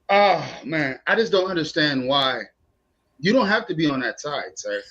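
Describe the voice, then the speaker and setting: A man steering a casual political panel, animated and playful, his voice hoarse from overuse. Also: a little high, measured pace. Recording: dull lo-fi call audio.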